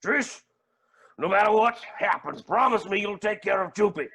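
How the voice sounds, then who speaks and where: An actor playing a smug, theatrical billionaire villain with dry bravado in a staged play reading. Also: male voice, high voice, moderate pace, vocal fry, a bit bright. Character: cocky, theatrical billionaire villain, stoic through pain.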